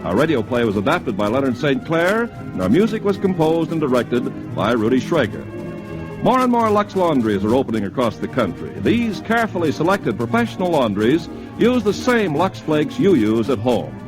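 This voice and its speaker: A man's voice: mid-range voice, big pitch swings, moderate pace, music in the background, rough recording. An American man announcing in a scripted 1950s radio style, as on a vintage sponsored broadcast. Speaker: commercial announcer.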